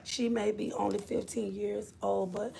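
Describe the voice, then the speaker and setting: A woman's voice: medium pitch, flat delivery, measured pace, vocal fry, noisy background. A woman from Florida with a Southern accent, hosting a casual livestream and chatting loosely with her viewers. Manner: chatty, drawling, scolds her kids off-mic. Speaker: livestream host. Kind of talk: casual live chat.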